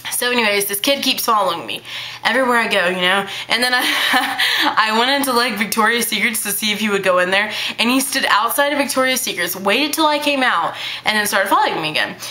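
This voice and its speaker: Bubbly teen girl with high baby voice. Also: brisk, pushed and bright. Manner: bubbly, hyper, chatty with many fillers. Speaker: vlogger. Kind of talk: casual chat to camera. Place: small bedroom indoors. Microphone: webcam at arm's length.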